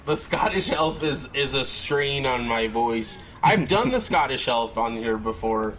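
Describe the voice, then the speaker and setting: A man's voice: medium pitch, expressive, unhurried, fairly bright tone, slight background noise, rough recording. A man hosting a casual gaming podcast, easygoing and full of filler words. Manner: easygoing, filler-heavy, laughs often. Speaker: podcast host.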